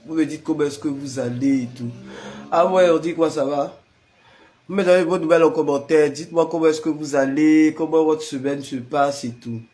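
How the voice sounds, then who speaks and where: A man's voice: fairly high pitch, fairly even intonation, normal speed, darkish tone. A man with the flu, a prayer leader chatting casually with live-stream viewers and greeting them by name. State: has the flu.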